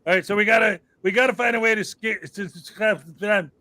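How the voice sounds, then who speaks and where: A man hosting a livestream in casual chat, talking excitably with lots of exclamations. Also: high voice, a little fast. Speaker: livestream host.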